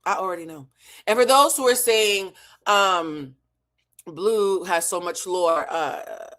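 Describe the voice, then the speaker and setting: A woman's voice: lowish voice, slow, a bit bright. An American woman from New England giving an opinionated recap as an online host, blunt, profane and emphatic, repeating her points for effect. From New England, United States. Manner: blunt, heavily profane, emphatic with rhetorical repetition. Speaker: online show host and emotional intelligence teacher. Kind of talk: opinionated reality show recap to camera.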